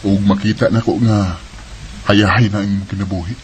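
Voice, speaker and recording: A man's voice: lowish voice, little pitch movement, moderate pace, close to an everyday voice. A man playing a protective, hardworking older brother in a Filipino Bisaya radio drama. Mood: tender, earnest. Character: protective, hardworking older brother raising his younger sister.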